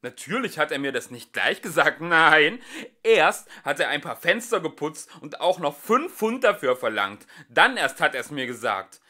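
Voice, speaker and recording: An exasperated everyman defending his house, as a man reading an audiobook puts him on. His voice is loud, bright and lively as he protests with rising pitch. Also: normal speed, heavily acted. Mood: indignant. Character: indignant ordinary Englishman defending his house.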